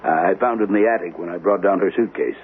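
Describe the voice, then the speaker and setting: A man's voice: deep voice, quick pace, lightly acted. An actor playing a genial, practical grandfather in a vintage radio drama, speaking in a casual, avuncular way. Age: older. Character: genial, practical grandfather, suburban weekend host. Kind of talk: 1970s radio drama acting. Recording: dull lo-fi radio, faint noise.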